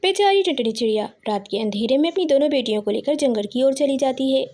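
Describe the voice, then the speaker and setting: A woman's voice: high-pitched, animated, fast talker, darkish tone. A woman narrating a children's story in a scripted storytelling style.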